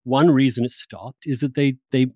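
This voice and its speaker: A man's voice: medium pitch, steady tone, moderate pace, dark tone, husky, no room sound, studio quality. A man hosting a podcast, talking in a discursive, informal, conversational way with the odd mild swear. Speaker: podcast host. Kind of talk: conversational intellectual interview.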